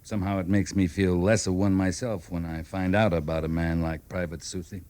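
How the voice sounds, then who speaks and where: Low-voiced, stern cavalry captain, acted by a man. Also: fairly even intonation, brisk, darkish tone, close to an everyday voice. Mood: grave, bitter. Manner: clipped, commanding, grave. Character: stern, determined male US cavalry captain, conscience-driven. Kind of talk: classic old time radio drama acting. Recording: vintage radio drama recording.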